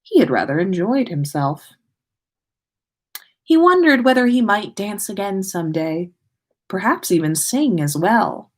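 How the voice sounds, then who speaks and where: A woman's voice: medium pitch, sing-song, a little slow, long pauses. Woman doing a casual story stream, takes her time, mid-range voice. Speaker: podcast host reading listener fan fiction aloud. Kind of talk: expressive story reading and casual livestream chat.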